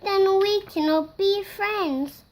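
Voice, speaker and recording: A five-year-old child reads a picture book aloud, acting out the parts. The voice is high and the delivery is very slow and halting, word by word. There is some noise behind the recording. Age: child, five years old. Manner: slow, halting word-by-word reading with acted-out sneezes.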